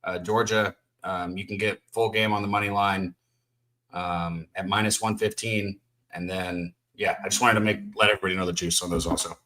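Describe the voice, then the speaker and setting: A man co-hosting a sports betting show, quickly listing his bets and odds and apologizing for jumping in. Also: deep voice, steady tone, sharp tone. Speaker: co-host. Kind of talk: casual betting talk.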